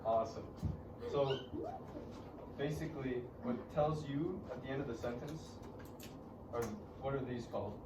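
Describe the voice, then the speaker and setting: A woman's voice: very deep voice, moderate pace, long pauses. A woman leading an informal classroom lesson as a teacher, casually checking understanding with short questions. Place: classroom, indoors. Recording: roomy, distant classroom recording with background noise.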